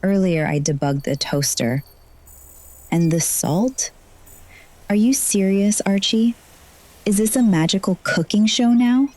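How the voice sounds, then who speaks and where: A woman's voice: medium pitch, measured pace, long pauses, darkish tone, close to an everyday voice. A woman playing a sardonic, frazzled heroine who narrates her magical adventure in first person in an audio drama. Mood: exasperated. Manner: wry, sarcastic asides.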